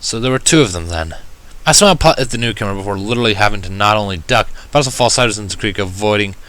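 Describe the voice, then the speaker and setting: A man's voice: fairly low pitch, animated, very fast, a bit bright. A man reading a first-person story aloud in a wry, conversational way. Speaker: audiobook reader of fan fiction.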